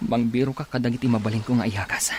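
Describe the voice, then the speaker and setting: A man acts out a shipwrecked man in an Ilocano radio drama. His mid-pitched voice is confused yet helpful, halting and gasping as he talks to himself. There is faint noise behind him. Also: steady tone, darkish tone, lightly acted. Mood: urgent concern. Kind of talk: Filipino radio drama acting.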